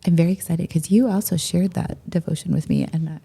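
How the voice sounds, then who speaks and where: Raspy low-voiced woman cohosting a podcast, kinda gravelly, chatty. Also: fairly even intonation, fast talker, dark tone. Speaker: podcast co-host. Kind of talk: casual chat.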